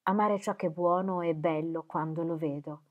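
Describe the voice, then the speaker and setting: A woman's voice: on the low side, fairly even intonation, normal speed, phone-quality sound, lightly acted. A woman reading aloud voicing a wistful, rebellious young man who talks plaintively and with sudden ardor. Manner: plaintive, impetuous.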